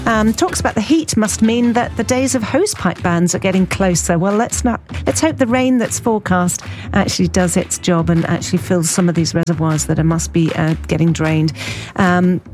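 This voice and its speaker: A woman presenting a hospital radio show, chatting casually between tracks with ums and chuckles, her voice a bit croaky. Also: lowish voice, moderate pace, darkish tone, soft background music. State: slightly croaky.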